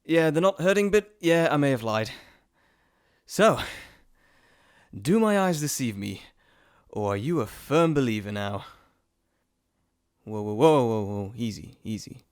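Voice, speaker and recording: A playful trickster god, acted by a man, speaks directly to the listener in a theatrical roleplay monologue. His mid-pitched voice swings widely as he chats and teases, full of mischief. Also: animated, measured pace, pauses often, clear, resonant tone, close to an everyday voice. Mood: amused, boastful, then soothing. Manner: theatrical, chatty, teasing. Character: playful, teasing male Greek messenger god, cheeky trickster.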